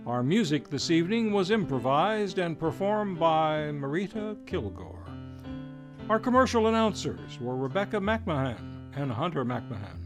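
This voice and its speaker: A man reading scripted announcements as the host of an old-time radio show, in a formal, theatrical manner with deliberate emphasis. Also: on the high side, big pitch swings. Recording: guitar music behind the voice. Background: plucked guitar music.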